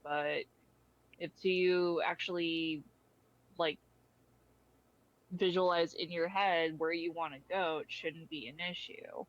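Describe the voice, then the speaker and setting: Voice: mid-range voice, steady tone, slow pace, pauses often, muffled recording, lightly acted. A performer voicing an irritated, blunt personification of the void in an audio drama, speaking casually with sardonic exasperation. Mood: incredulous. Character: irritated, foul-mouthed personified Void, a blasé homeowner. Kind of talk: audio drama character dialogue.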